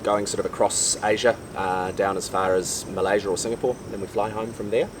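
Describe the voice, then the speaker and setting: Low-voiced Kiwi man, a bit off-mic. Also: steady tone, fairly quick, recorded from a distance, noisy background. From New Zealand. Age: 30s. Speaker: sailing vlogger. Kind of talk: casual chat. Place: outdoors on a boat in Panama.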